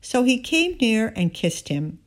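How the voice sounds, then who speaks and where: Slow-paced woman reading scripture. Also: fairly high pitch, big pitch swings. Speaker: scripture reader. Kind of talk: scripted Bible reading, narration with dialogue.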